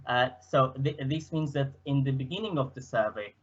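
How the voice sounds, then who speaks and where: A man's voice: medium pitch, moderate pace, highs cut off. A young man from Portugal with a Portuguese accent, presenting slides in a hesitant way, full of fillers and restarts, speaking into a headset. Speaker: student presenter.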